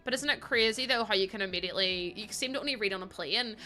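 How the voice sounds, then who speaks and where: A woman's voice: mid-range voice, fast talker, soft background music. A woman livestreaming, chatting casually with her viewers and laughing often. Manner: chatty, laughs often, greets chat warmly. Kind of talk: casual chat with stream viewers.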